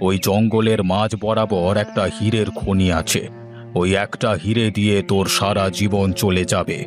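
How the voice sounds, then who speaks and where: Man playing a deep-voiced, stern holy sage, music behind. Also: level tone, normal speed, natural voice. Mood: solemn, instructing. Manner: commanding, archaic solemn address. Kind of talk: animated fairy-tale voice acting.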